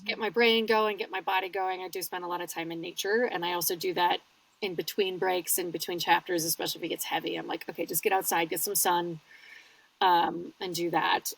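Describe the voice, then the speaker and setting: A woman, a guest audiobook narrator, chatting casually in an interview from a small padded home booth and telling her stories with lively swings in her voice. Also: a little fast, gravelly, recorded from a distance, rough recording. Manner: animated storyteller with wide pitch swings.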